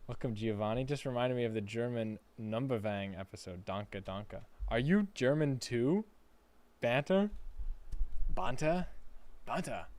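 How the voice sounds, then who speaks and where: A man's voice: lowish voice, a little mellow. A man chatting casually on a gaming livestream, playful, reading chat aloud and laughing often. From United States.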